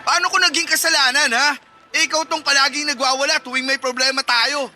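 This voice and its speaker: Womanizing young boyfriend, strained very high voice, whining, defensive, manipulative, radio melodrama. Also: fairly even intonation, fairly quick, bright, forceful tone, full character voice. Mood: defensive, smug. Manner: whining, pleading, accusatory. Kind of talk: melodramatic radio drama acting.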